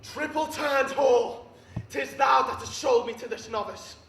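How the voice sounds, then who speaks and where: A man's voice: sits high, level tone, a little slow. A man playing a betrayed, despairing Roman general in an impassioned, declamatory classical Shakespeare monologue on stage. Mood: raging. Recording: distant echoey room with background noise.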